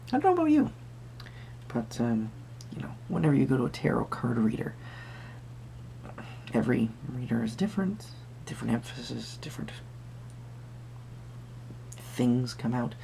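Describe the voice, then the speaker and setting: A performer playing a gentle, eccentric tarot card reader in an intimate roleplay, musing and hesitant, with a breathy voice that often drops to a whisper. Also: medium pitch, sing-song, normal speed, pauses often, slight background noise. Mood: encouraging, mysterious. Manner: musing, hesitant, softly trailing off.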